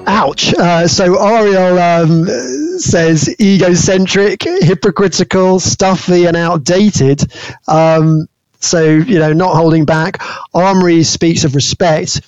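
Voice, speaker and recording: A male podcast host with a fairly high voice leads a discussion. His delivery is conversational and a little hesitant. The sound is dry but somewhat muffled, with a limited band. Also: fairly even intonation, takes it slowly, darkish tone, smooth, dry recording, faint music behind. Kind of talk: discussion hosting.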